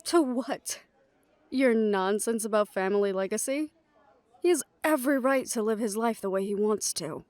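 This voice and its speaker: A woman's voice: a little high, moderate pace, darkish tone, no echo, lightly acted. A woman playing a fiercely loyal young werewolf in an audio drama, challenging and sarcastic in her delivery. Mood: indignant challenge. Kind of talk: scripted audio drama acting.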